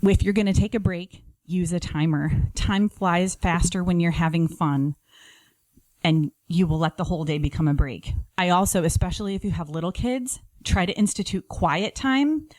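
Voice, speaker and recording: Lower-pitched woman giving practical advice. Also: moderate pace, darkish tone, no echo. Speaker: podcast guest giving home-schooling tips.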